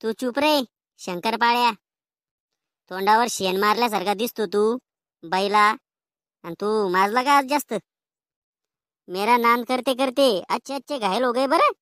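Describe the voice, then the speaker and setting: A woman's voice: on the high side, unhurried, pauses often, a bit bright, no room sound, studio quality. A woman voicing a cheeky, quarrelsome village schoolboy in a comedy cartoon, with rural Maharashtrian slang and a taunting, argumentative way of talking.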